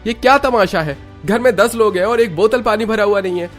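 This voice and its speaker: An irritable young husband acted by a man in a Hindi cartoon. His voice is notably high for a man and has a loud, scolding edge, with music underneath. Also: a little fast, close to an everyday voice. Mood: angry. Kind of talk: Hindi cartoon story voice acting.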